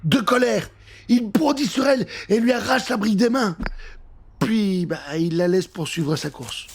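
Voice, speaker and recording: High-pitched male children's story narrator. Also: a little slow, breathy edge. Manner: dramatic, suspenseful delivery. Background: music.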